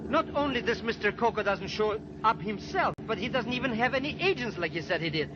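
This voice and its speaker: An actor playing a nervy, greedy comic sidekick in vintage radio drama, chatty, excitable and wheedling. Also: male voice, high voice, a little fast. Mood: boastful. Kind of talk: 1940s radio acting. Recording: dull, noisy old radio recording.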